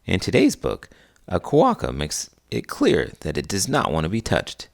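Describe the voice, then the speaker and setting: A man with a low, creaky voice and a very lively range of pitch. He talks like an elementary teacher chatting with children, explaining things plainly as the host. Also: normal speed. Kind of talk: friendly educational chat for children.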